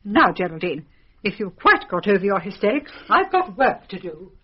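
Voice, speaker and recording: Brisk, scolding lady. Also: medium pitch, sing-song, breathy edge, muffled recording. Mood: sharp, scolding. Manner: firm, disapproving. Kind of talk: radio drama acting.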